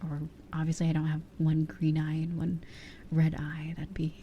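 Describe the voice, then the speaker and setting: A woman in her twenties chatting casually as an ASMR streamer in a soft, breathy voice that often drops to a whisper, rambling candidly close to the microphone. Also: low voice, monotone, normal speed, dull audio, a little hiss or hum. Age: 20s. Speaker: ASMR livestream host. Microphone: close ear-to-ear ASMR microphone.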